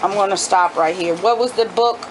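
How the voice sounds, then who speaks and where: Middle-aged woman, homeschooling mother reading aloud to children, medium pitch, explanatory asides, clearly noisy home background. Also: fairly quick.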